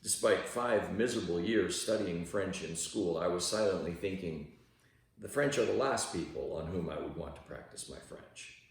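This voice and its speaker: A man reading a devotional aloud in a measured, deliberate way with emphatic stresses. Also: deep voice. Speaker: reader of a devotional audiobook. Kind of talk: read-aloud devotional narration. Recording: very echoey room, distant from microphone.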